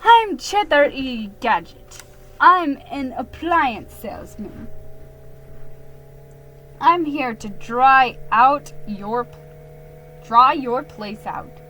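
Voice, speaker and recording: A smug storybook mouse salesman, read by a woman, blunt and rude. He talks slowly and haltingly in a bright voice. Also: sits high, expressive, a little hiss or hum, heavily acted, low-quality recording. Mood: introducing himself.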